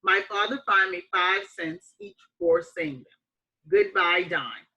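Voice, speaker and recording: A woman reading a children's picture book aloud like a teacher, with expressive, emphatic phrasing and deliberate dramatic pauses. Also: medium pitch, lo-fi sound.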